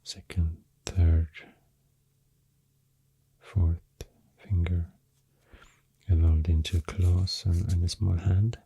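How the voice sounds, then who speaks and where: A man with a Swedish accent softly rambling through an ASMR explanation, breathy and often near a whisper, soothing and hesitant in manner. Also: sits low, level tone, drawn-out words, pauses often, poor audio. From Sweden. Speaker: ASMR host.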